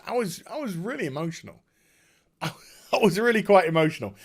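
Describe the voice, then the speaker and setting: A man talking casually and off the cuff as a video host, emphatic and excitable, often halting and repeating his words. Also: a little high. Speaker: video host giving a game story discussion. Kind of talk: unscripted casual rambling commentary.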